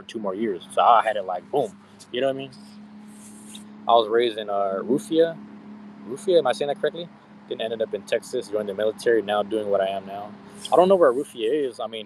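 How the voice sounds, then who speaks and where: A man in his thirties talks loosely with his live audience. He rambles, curses freely and leans on street slang. His voice sits on the low side. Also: slight background noise, rough recording. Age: 30s. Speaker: streamer. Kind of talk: casual live-stream chat with viewers.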